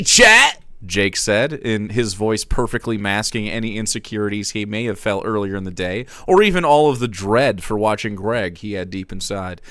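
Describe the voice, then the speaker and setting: A man streamer giving a dramatic reading with wry, deadpan asides. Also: on the low side, dry recording.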